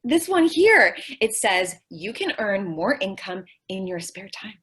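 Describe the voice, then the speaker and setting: Naive, optimistic young ditz, medium-pitched, old-time radio comedy, played by a woman. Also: big pitch swings, a little slow, fairly bright tone, husky, exaggerated voice. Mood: eager.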